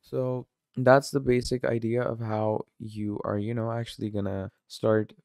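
A man giving a casual screen-recorded software tutorial, filling his talk with "obviously" and "you're gonna". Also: medium pitch, little pitch movement, measured pace, vocal fry, no room sound, clean studio sound. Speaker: tutorial presenter.

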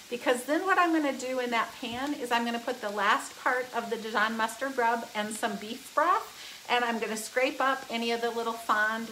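A woman in her fifties cooks live in her home kitchen and explains every step as she goes. Her voice is a touch high and bright, and her talk is chatty and upbeat. She speaks into a phone camera, with a faint sizzle from the pan behind her. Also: a little hiss or hum, poor audio. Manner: chatty and upbeat, explaining steps while cooking. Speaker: home cook hosting a live cooking stream. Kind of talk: casual cooking demonstration. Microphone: phone camera, often held close, sometimes across the kitchen.

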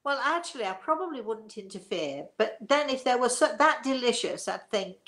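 A woman's voice: fairly high pitch, big pitch swings, normal speed, a bit bright. A woman in her sixties chatting casually as host of her own show, rambling and effusive as she drifts off on tangents. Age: 60s. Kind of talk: casual chat. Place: living room, indoors.

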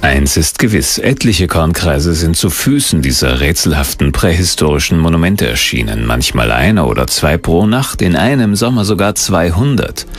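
A man reading scripted documentary narration in a measured, mysterious storytelling tone. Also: low-pitched, lively intonation.

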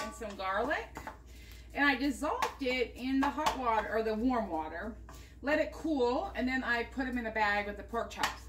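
High-voiced chatty woman in a kitchen. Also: fairly bright tone, slight background noise. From United States. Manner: chatty, talks viewers through each step. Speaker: vlogger cooking at home. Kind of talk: casual cooking demonstration. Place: home kitchen. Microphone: camera mounted above the counter.

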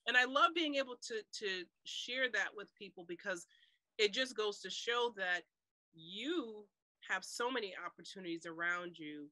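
Bright, dry-voiced woman author. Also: medium pitch, moderate pace, no echo, low-quality recording. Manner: animated storyteller, laughs often, acts out inner voice. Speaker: guest author. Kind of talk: conversational interview. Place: room with blue cloth backdrop. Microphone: webcam on video call.

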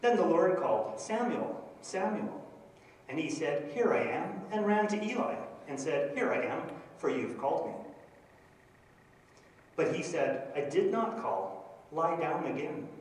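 A man's voice: on the high side, big pitch swings, unhurried. Middle-aged Canadian man in an echoey church. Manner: hesitant, with many fillers. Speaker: minister presenting a graduate project. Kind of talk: church presentation with scripture reading. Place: church sanctuary before a projector screen. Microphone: handheld microphone. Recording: echoey church from a far camera.

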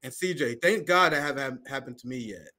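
A man's voice: mid-range voice, a little fast, bright, forceful tone. A man in his thirties co-hosting a casual reaction show over a video call, heated and scornful, breaking into laughs between points. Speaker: podcast co-host. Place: home room. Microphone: video call webcam.

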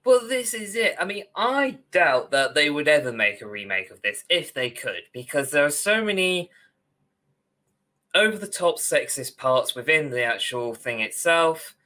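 A man's voice: a little high, big pitch swings, a little slow, bright, forceful tone. A young man co-hosting a podcast over a video call, giving a wry, opinionated, casual critique. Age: young adult. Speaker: podcast co-host. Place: small room at home, on video call.

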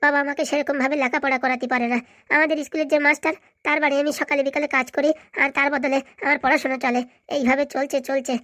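Wily cartoon village man played by a woman: high-pitched, placating, long-winded, very quick. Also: level tone, a bit bright, no room sound, clean studio sound. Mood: sorrowful storytelling. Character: pleading, talkative male visitor, wily and persistent. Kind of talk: comic cartoon dialogue.

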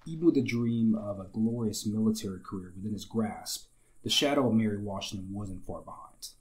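A young man with a slightly raspy, hoarse voice, hosting a history channel and narrating from a script with wry conversational asides. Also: on the low side, brisk, a little mellow, poor audio. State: recovering from illness, slightly hoarse.

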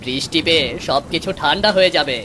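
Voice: mid-range voice, quick pace, bright, forceful tone, a little hiss or hum, close to an everyday voice. An actor playing an easygoing village husband in a cartoon, grumbly but affectionate, with a folksy, exclaiming way of talking. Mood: relaxed, pleased. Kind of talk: cartoon voice acting.

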